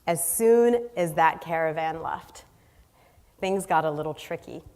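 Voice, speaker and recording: A woman's voice: low voice, unhurried, darkish tone. A woman born in Haiti giving an earnest, storytelling keynote speech on stage, listing her points emphatically. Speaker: guest speaker. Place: large hall on stage. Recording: long hall reverb.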